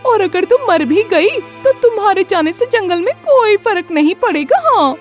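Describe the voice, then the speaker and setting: A woman voicing a cruel, gossiping female monkey in an animated fable, taunting and dismissive. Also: very high-pitched, normal speed, soft background music, pitched above the performer's own voice. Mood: mocking.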